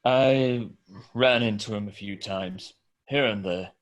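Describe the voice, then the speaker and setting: A man's voice: lowish voice, slow pace. A man reading the part of a guarded, secretive wealthy bachelor in a hesitant, understated way at a script table read.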